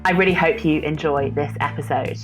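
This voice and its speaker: Low-voiced woman hosting a podcast. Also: steady tone, a little fast, music in the background, poor audio. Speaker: podcast host. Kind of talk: friendly podcast introduction.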